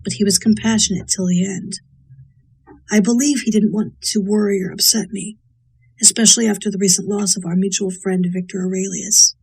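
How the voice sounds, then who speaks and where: Husky woman, even measured delivery. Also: medium pitch, poor audio. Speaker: voice actor paying tribute. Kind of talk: prepared spoken tribute.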